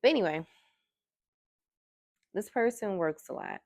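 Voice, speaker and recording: A soft-spoken woman doing a tarot card reading, talking in a slow, musing way. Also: medium pitch, wildly swooping pitch, vocal fry, no echo. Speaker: tarot reader. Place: indoors at a table.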